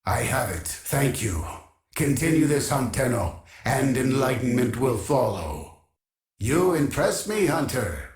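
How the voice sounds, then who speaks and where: A man playing a haughty, obsessive artificial intelligence archivist in a video game, speaking in a formal, measured, commanding way. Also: mid-range voice, big pitch swings. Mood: commanding, eager. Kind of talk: scripted video game character dialogue. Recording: echoing, reverberant processed game voice.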